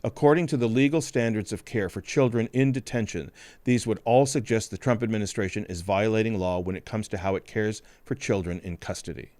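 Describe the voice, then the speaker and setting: A male podcast host reading a scripted news report in a grave, measured way. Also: on the low side, darkish tone, dry recording.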